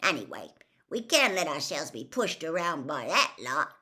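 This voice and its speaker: A hulking half-giant with a blunt, loud, dismissive way of talking, as a woman narrator puts him on. His voice sits low with broad pitch swings. Read as an audiobook. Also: big pitch swings, moderate pace, full character voice. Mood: gruff. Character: huge gruff kindly half-giant man.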